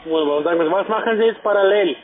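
Male call-center seller, foreign accent, high pitched, narrow phone-quality sound. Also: rapid-fire, a little hiss or hum. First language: not German. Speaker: telephone sales agent. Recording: tinny phone line.

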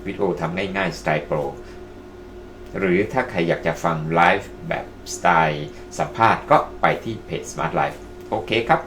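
A man's voice: low-pitched, a little slow, a bit bright, a little hiss or hum. A man presenting casually to online viewers as a tutorial host, addressing them politely as friends. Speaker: presenter of video-making tutorials. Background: music.

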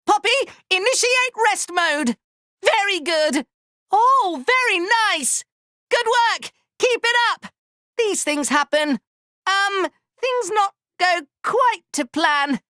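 A tiny, round furry inventor creature from a video game, with an extremely high, squeaky voice. It is proud and excitable, with bright, forceful delivery and childlike broken grammar. The recording is close and dry. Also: male voice, slow, dry recording, exaggerated voice. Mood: cheerful, proud. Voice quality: squeaky, cartoonish. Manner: childlike broken grammar, third-person self-reference. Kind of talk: video game character voice lines.

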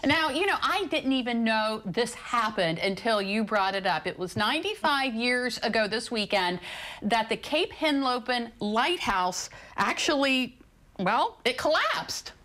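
Female TV co-host, bright and upbeat, studio presenter. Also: fairly high pitch, lively intonation, unhurried. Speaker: TV show co-host. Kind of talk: scripted TV segment hosting. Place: TV studio set.

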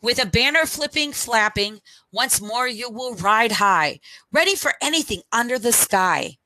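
A middle-aged woman reading a picture book aloud for children at storytime, phrase by deliberate phrase, into a headset microphone. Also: fairly high pitch, animated, pushed and bright, no room sound.

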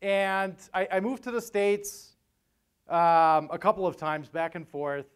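Male seminar speaker, 40s, clear resonant voice on the higher side, conversational and joking. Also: fairly even intonation, a little slow. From Vienna, Austria. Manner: conversational, joking, often tags sentences with "right?". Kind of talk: informal conference talk. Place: hotel meeting room, on stage at a lectern. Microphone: lapel mic.

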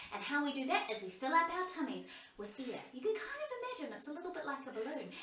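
A woman's voice: sits high, a bit bright. A young woman leads children's storytime in an encouraging, sing-song way, speaking directly to young viewers. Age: young adult. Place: living room, indoors. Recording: roomy, distant camera sound.

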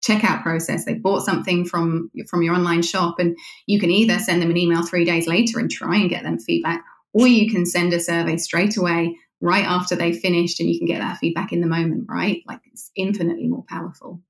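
Low-voiced woman explaining in an echoey room. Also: little pitch movement, brisk, creaky. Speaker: interviewee explaining a company product. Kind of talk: conversational interview explanation.

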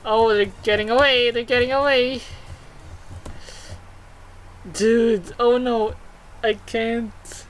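A woman's voice: a little high, fairly even intonation, unhurried, a little hiss or hum. A woman hosting a let's play, reading game dialogue aloud and reacting casually. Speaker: gaming video host.

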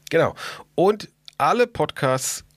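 A man's voice: a little high, lively intonation, slow pace, a bit bright, dry recording. A man co-hosting a podcast in casual, jokey, easygoing chat. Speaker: podcast co-host.

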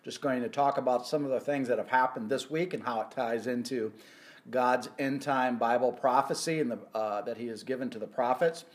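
Middle-aged male ministry host; earnest, halting delivery with many fillers; prophecy teaching, close phone mic indoors. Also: mid-range voice. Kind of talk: weekly Bible prophecy teaching. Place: indoor office or home room.

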